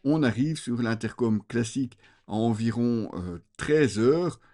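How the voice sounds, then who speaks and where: A man giving an unscripted, conversational product review, explaining things to viewers with frequent hesitant pauses. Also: medium pitch, warm tone. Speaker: motorcycle gear reviewer.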